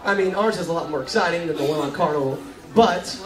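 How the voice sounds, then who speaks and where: A man's voice: on the high side, quick pace, crowd noise. A man hosting a live amateur show, informally prompting his audience with a hesitant delivery. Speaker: host introducing an amateur show. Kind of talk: informal stage introduction to audience. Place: live show on cruise ship deck. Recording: echoey, distant mic, faint noise.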